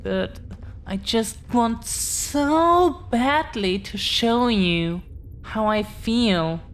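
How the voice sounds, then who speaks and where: A woman's voice: a little high, expressive, drawn-out words, slight background noise. A woman playing an affectionate, earnest dragon-blooded girlfriend in an intimate audio roleplay, confessing to the listener in a hesitant, tender way. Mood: tender, vulnerable.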